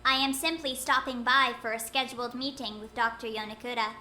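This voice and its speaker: A woman voices a prim non-human being with a high, bright, sharp voice and stiff, formal manners. The recording is echoey and roomy, with a faint hum behind it. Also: fairly even intonation, a little fast.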